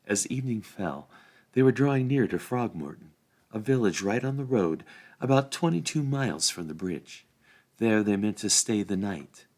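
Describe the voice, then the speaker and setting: A man reading a storybook aloud as narrator, in an expressive storytelling style. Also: on the low side, moderate pace. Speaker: volunteer story reader.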